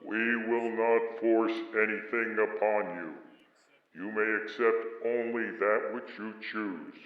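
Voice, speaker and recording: A man performs a courteous alien envoy for radio theater, formal and stilted. The voice is lowish and very slow, heard in a muffled, echoey hall. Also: fairly even intonation. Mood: calm, reassuring.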